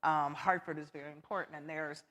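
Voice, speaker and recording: A woman's voice: sits low, fairly even intonation, a little fast, fairly bright tone, big-room sound, highs cut off. A woman on a discussion panel, explaining earnestly with many fillers.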